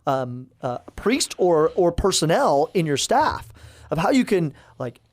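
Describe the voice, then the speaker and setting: A man's voice: fairly high pitch, very animated, a little slow. A man, a parish priest chatting casually on a podcast, rambling with lots of fillers and restarts.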